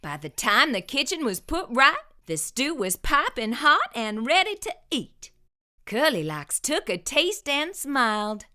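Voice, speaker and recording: A woman hosting a story time and reading a picture book aloud, expressive and sing-song. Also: high voice, measured pace. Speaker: storyteller host.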